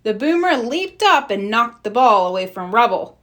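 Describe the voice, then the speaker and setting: Female children's read-aloud narrator, higher pitched, very animated, scripted storybook style. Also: moderate pace. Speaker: storybook reader.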